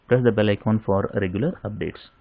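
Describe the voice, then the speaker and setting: Low-voiced man explaining, tinny sound. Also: a little fast, gravelly, no room sound. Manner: steady explaining, frequent "uh" fillers and "in this case". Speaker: lecturer. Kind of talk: slide lecture on probability. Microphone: voice-over to slides.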